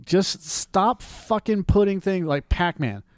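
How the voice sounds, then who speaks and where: A man's voice: high voice, lively intonation, slow pace, no echo, studio quality. A man ranting as co-host of a casual movie review show, blunt, scornful, profane and emphatic. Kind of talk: casual chat, opinionated ranting.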